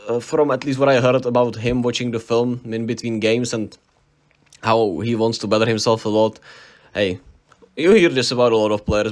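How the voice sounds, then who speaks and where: A young man with a Czech accent talks through a basketball recap in a loose, unscripted way. He sounds eager and rambles, stumbling and restarting when names trip him up. His voice sits at an ordinary middle pitch for a man. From Czech Republic. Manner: enthusiastic, rambling, self-correcting on names. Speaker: basketball vlogger. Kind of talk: casual unscripted sports recap.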